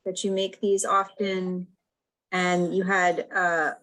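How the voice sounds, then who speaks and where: Woman moderator, lowish voice, brisk, reads questions over a video call. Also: little pitch movement, fairly bright tone, poor audio. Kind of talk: moderating an online Q&A.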